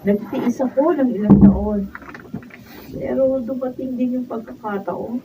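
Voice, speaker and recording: A woman's voice: fairly high pitch, unhurried, lightly acted. A Filipino woman playing an exasperated, nagging young wife and mother in a radio drama, recorded in a noisy room. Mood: regretful. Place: radio drama studio, filmed raw. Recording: muffled, noisy room, distant mic.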